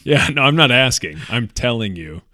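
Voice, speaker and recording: A man co-hosting a podcast in casual comedic chat, with a jokey, self-deprecating, deadpan way of riffing. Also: medium pitch, moderate pace, no echo, studio quality. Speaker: podcast co-host.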